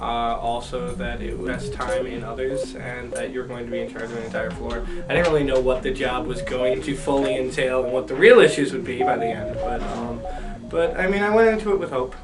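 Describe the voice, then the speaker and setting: Soft-spoken young man, hesitant. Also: mid-range voice. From upstate New York. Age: 20s. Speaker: resident assistant. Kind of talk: interview answers. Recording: distant mic, music underneath.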